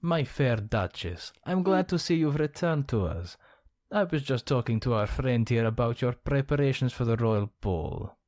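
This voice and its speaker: A man's voice: medium pitch, expressive, moderate pace, a little mellow, no room sound, studio quality, lightly acted. A man playing a suave, gossipy, scheming count in an ASMR roleplay, speaking in a genteel, theatrical manner. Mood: courtly, knowing. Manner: genteel, theatrical, arch phrasing.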